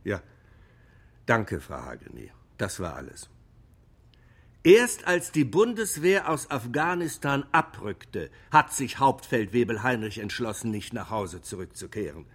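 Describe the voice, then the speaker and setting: An insistent lawyer pleading in a radio drama trial. His delivery is rhetorical and deliberate, with strongly varied pitch that presses home each point. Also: very animated, slightly rough, exaggerated voice. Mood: grave. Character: emphatic, insistent male lawyer arguing in court. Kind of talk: radio drama courtroom speech.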